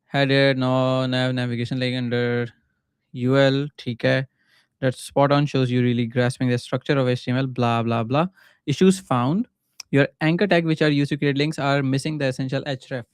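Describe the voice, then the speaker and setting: Clear-voiced Pakistani man, casual and halting. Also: mid-range voice, fairly even intonation, no room sound, clean studio sound. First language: Urdu. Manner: thinks aloud in short halting phrases. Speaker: livestreaming coder and mentor. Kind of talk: casual live coding commentary.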